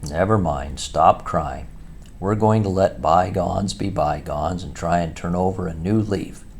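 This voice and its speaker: A man's voice: sits low, unhurried, creaky, a little hiss or hum. A man voicing a stern, dry-voiced old male badger in a storybook reading. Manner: severe, dry, thin-voiced, then kindly.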